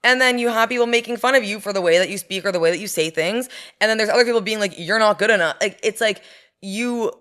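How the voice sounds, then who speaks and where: A woman's voice: medium pitch, quick pace, a bit bright. A woman in her twenties from Ontario, a podcast guest chatting casually, frank and profane, often restarting her sentences. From Ontario, Canada. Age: 20s. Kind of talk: casual chat.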